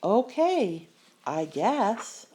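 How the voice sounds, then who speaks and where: A woman voicing a brash, excitable schoolboy with blunt, emphatic delivery in a children's storybook. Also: medium pitch, drawn-out words. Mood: grudging.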